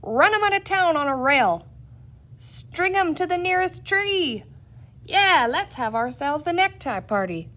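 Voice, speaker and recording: A woman voicing angry little green men in a storybook, shouting old-west cowboy slang. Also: very high voice, very animated, unhurried, pitched above the performer's own voice, full character voice. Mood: outraged.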